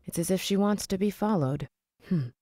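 An actress playing a cool, skeptical agency chief in a video game, measured and curt. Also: female voice, medium pitch, mellow, lightly acted. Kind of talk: game voice acting.

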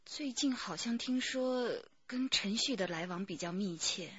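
An actress playing a poised, guarded company manager in a radio drama, with a breathy voice and careful delivery. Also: female voice, medium pitch, animated, unhurried, no room sound, highs cut off.

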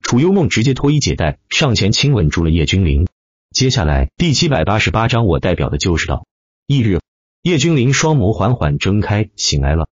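A man's voice: fairly low pitch, very animated, brisk, slightly rough, dry recording, muffled recording. A man narrating a dramatic fantasy story from a script in a theatrical, emphatic way. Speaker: audiobook narrator.

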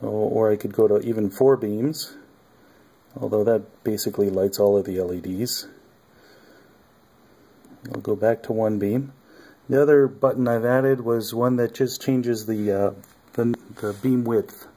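A man casually showing his electronics project at a home workbench, talking haltingly with many ums and uhs. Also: lowish voice, warm tone. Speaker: hobbyist maker.

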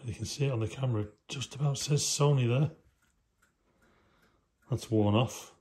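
Male demonstrator, medium pitch, casual running commentary while handling gear, noisy recording with clicks. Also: moderate pace, a little mellow. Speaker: host of a hands-on vintage electronics video. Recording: clear background noise with clicking.

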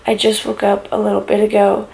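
A young woman with a raspy voice chatting in a sleepy, low-key way for her home vlog, filmed on a phone at arm's length in her bedroom. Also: completely flat delivery, brisk, darkish tone, rough recording. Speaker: vlogger. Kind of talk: casual vlog chat. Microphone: phone camera held at arm's length.

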